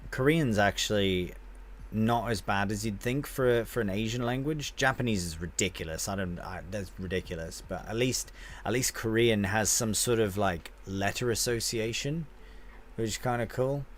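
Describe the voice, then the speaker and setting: A game streamer with a fairly low voice talks with viewers in a loose, rambling way. He answers chat with easygoing, friendly banter. Faint ambient noise sits behind him. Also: moderate pace.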